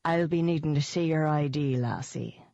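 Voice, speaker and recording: Woman doing a low-voiced old Irish barman. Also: normal speed, vocal fry, warm tone, dry recording, dull audio. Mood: teasing. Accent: Irish, put on. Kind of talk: audiobook character voice.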